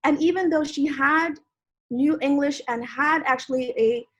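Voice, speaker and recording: A woman's voice: sits high, unhurried, lo-fi sound. A woman in her forties, born in Bangladesh, a law professor giving an earnest, reflective personal speech at an online panel. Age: 40s.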